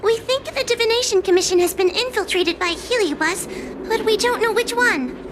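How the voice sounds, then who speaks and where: A woman's voice: very high-pitched, normal speed, noisy background. A woman playing a timid but dutiful young fox girl in a video game, hesitant and polite. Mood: timid, earnest. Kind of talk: video game cutscene voice acting. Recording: game music under the voice.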